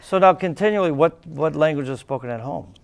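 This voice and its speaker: Gravelly male talk show host. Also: a little high. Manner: halting, with many uhs and restarts. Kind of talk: casual interview hosting. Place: cable TV studio.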